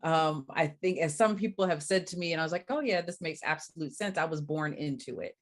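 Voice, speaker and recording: A woman from Barbados, a diversity coach, answering interview questions in a thoughtful, measured way with frequent pauses. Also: deep voice.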